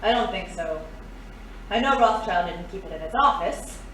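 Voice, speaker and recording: Shrewd, world-weary lady crook for female actor, mid-pitched, matter-of-fact audio-drama delivery, roomy and off-mic. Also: expressive, normal speed, fairly bright tone, far from the mic, natural voice. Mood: knowing. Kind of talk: pulp adventure audio drama acting. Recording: echoey, off-mic, faint noise.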